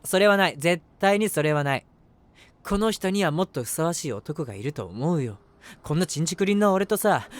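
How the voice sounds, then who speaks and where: Man acting a lively, flustered lovestruck young guy. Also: a little high. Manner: flustered, animated, self-mocking, talking to himself. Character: lovestruck, self-deprecating, easily flustered young man, narrating his crush. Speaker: voice actor. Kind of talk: first-person situation voice drama, chatty narration with acted reactions.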